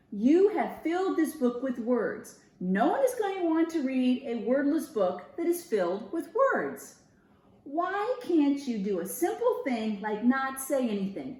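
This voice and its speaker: A woman's voice: on the high side, a little mellow. A woman reading a picture book aloud, voicing an exasperated, fussy male animal who scolds emphatically and repeats himself. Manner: ranting, emphatic, repetitive. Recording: roomy echo with clear background noise.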